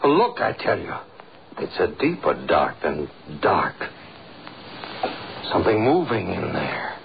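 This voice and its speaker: Casting: obsessive, commanding doctor for vintage radio horror; raised gravelly voice, dull hissy broadcast sound. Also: male voice, low-pitched, wildly swooping pitch, a little slow, full character voice. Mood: obsessed, insistent. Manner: urgent, commanding, melodramatic. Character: obsessively curious male doctor, headstrong, turning terrified. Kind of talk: 1930s radio horror acting. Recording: noisy, dull 1930s radio recording.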